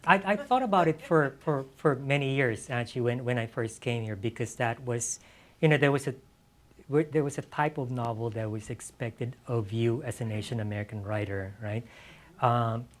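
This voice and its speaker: Male novelist on a panel, mid-pitched, reflective, halting delivery with repeated words. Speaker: novelist being interviewed on a panel.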